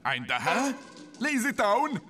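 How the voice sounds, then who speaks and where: A man's voice: high-pitched, wildly swooping pitch, moderate pace, pushed and bright, faint music behind, exaggerated voice. An actor playing a vain, scheming male villain in an Arabic cartoon dub, theatrical in his delivery.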